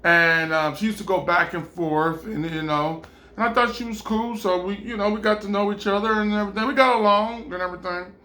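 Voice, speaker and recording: A man with a fairly high, bright voice tells a personal story in a casual vlog. He rambles and circles back, filling every pause with um and you know. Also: fairly even intonation. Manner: rambling, many ums and you-knows.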